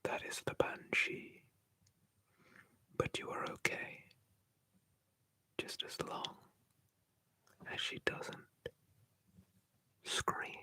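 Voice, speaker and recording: A storyteller telling folklore in a slow, hushed, playful whisper, ASMR style.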